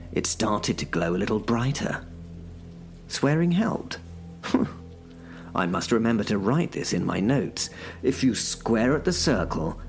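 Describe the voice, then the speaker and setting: A man's voice: deep voice, creaky. A man narrating a first-person horror audio drama in a wry, self-mocking way. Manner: wry, self-mocking, dry British humour. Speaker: narrator and lead character.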